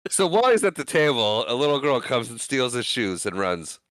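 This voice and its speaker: Smooth-voiced man recapping a film. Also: medium pitch, normal speed, no echo. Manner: animated storytelling, retells scenes with quoted lines. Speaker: podcast co-host. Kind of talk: casual chat recapping a film.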